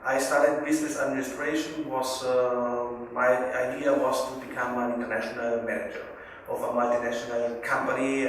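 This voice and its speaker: A man in his forties from northern Italy, a native German speaker with a South Tyrolean German accent, gives a seminar presentation in English, haltingly searching for words and checking with his listeners. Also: medium pitch. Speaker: guest speaker, business consultant. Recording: echoey hall, distant mic, faint noise.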